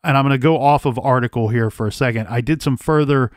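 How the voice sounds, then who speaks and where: A man's voice: medium pitch, quick pace, no echo, clean studio sound. A man hosting a true crime podcast, reading old case records aloud and then thinking through theories in casual talk. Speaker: podcast host.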